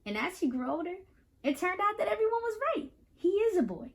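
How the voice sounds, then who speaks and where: A woman reading a picture book aloud to children, pausing to explain and put questions to the listeners in an instructive, animated way. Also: very high voice. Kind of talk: children's read-aloud with teaching asides.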